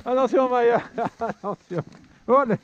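High-voiced man coaching kids on skis. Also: measured pace. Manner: encouraging, repeating short commands. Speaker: father coaching his children. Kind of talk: shouted ski coaching while filming. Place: outdoor snowy mountain ski slope and forest. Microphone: action camera worn while skiing. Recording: dull lo-fi action-camera sound with faint wind.

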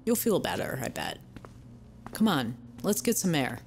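A woman's voice: low voice, huge pitch swings, measured pace, vocal fry, heavy background noise, full character voice. A woman acting in an audio drama as a concerned, encouraging young band member.

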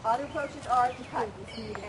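A middle-aged woman leading an outdoor walking tour, explaining informally and a little haltingly. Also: sits high, steady tone. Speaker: walking tour guide, plant educator. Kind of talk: informal outdoor talk and answering questions. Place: outdoors in a wooded riverside park. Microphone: camera among the crowd, a few metres off. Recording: outdoor camera recording with loud background noise.

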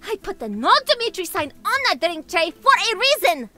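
A woman's voice: very high voice, sing-song, normal speed, pushed and bright, faint music behind, exaggerated voice. A woman voicing a bubbly young barista in a video game, sweet to customers and bossy toward her brother. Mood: scolding.

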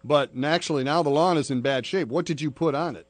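A man's voice: a little high, fast talker, no echo. Dry-sounding American man, insistent prank caller. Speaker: radio morning show host making a prank call. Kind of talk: prank phone call, conversational. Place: radio studio, dry close sound.

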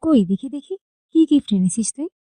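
A woman's voice: sits high, expressive, normal speed, dark tone, no room sound. A woman doing a sneering snobbish girl. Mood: mocking. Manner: sneering, taunting. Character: snobbish mocking young woman.

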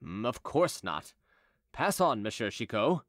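A man's voice: medium pitch, sing-song, unhurried, fairly bright tone, no echo, studio quality. Man playing a grave, formal young patrol officer. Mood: gallant, yielding. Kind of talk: audiobook character dialogue.

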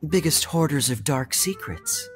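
An actor playing a suave young knight in a video game, smooth, playful and teasing. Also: male voice, a little high, expressive, a little slow. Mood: sly teasing. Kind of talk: video game character dialogue. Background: music.

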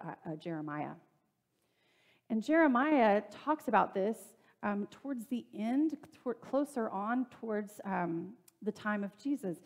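A woman's voice: mid-range voice, darkish tone. Conversational woman pastor preaching in church. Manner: conversational, plain-spoken preaching with fillers and asides. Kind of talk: sermon. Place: church sanctuary.

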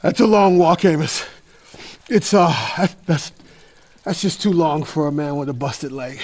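An actor playing a gruff, self-doubting older survivor, wounded and weary, in an audio drama, with a husky, gravelly voice and a halting, strained delivery. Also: male voice, on the high side, a little mellow. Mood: pained, pleading. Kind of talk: post-apocalyptic audio drama acting.

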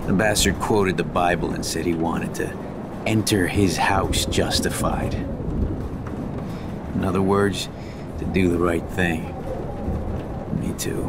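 An actor in a video game playing a rough, grieving drug dealer in his thirties, with an American accent and a gravelly, halting, emotional delivery. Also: very noisy. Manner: halting, emotional, coarse-mouthed. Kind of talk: dramatic video game acting. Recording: rumbling storm ambience behind the voice.

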